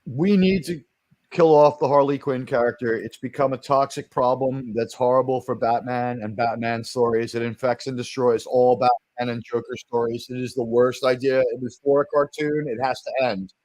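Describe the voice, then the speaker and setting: A man with a very loud voice giving emphatic opinions in a casual stream chat. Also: medium pitch, steady tone, normal speed, no room sound.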